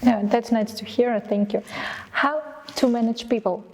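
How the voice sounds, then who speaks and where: A woman's voice: a little high, fairly even intonation, unhurried, a little mellow. Polite woman interviewer with reverb. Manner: polite, hesitant, with fillers. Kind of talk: interview questions in English. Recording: reverberant room.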